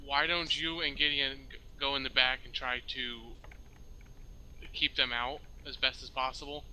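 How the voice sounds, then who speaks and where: A very bright, forceful man's voice at medium pitch comes over a faintly noisy voice chat. He takes charge as a role-play player, handing out instructions. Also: level tone, normal speed. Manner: directive, organizing the others. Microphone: online voice chat.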